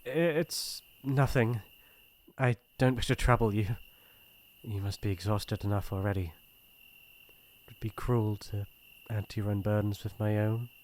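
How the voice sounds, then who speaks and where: A man with a British accent playing a shy, earnest young crown prince in an ASMR roleplay, speaking in formal, hesitant, gentle phrases. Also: fairly low pitch, steady tone, measured pace, lightly acted. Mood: embarrassed, troubled. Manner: formal courtly phrasing, hesitant and soft-hearted.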